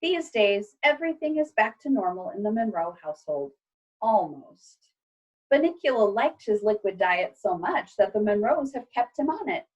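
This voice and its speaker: A woman reading a children's storybook aloud like a teacher, with expressive, clearly phrased delivery. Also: medium pitch, moderate pace, dull audio.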